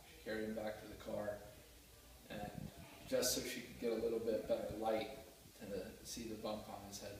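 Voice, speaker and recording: A young man giving an earnest, heartfelt class speech, with a few small restarts. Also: fairly low pitch. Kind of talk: prepared speech. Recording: roomy echo, mic far off, faint noise.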